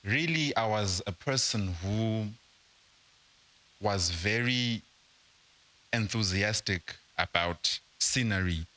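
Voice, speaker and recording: A young man from Zimbabwe, a guest entrepreneur, explaining at a slow, measured pace in a casual interview. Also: on the low side, sharp tone. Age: young adult.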